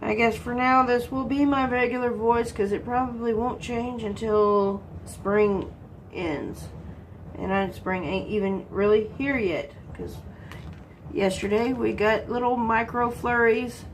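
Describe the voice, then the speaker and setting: A woman's voice: on the high side, fairly even intonation, unhurried, a little hiss or hum, poor audio. A congested woman from Texas, chatting casually while she works on a craft and answering her own questions. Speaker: crafting vlogger.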